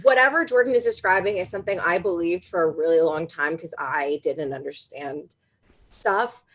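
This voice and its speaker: A woman's voice: lowish voice, moderate pace. A woman hosting a casual comedy livestream chat, exclaiming and making fun of herself as she goes. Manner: animated, exclaiming, self-mocking. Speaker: host of a cooking-show discussion stream.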